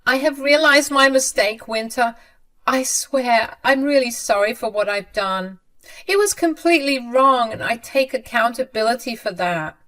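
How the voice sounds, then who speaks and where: A woman voicing a desperate older mother-in-law who begs for forgiveness in a high, shrill voice. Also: moderate pace, a bit bright, natural voice. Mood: pleading.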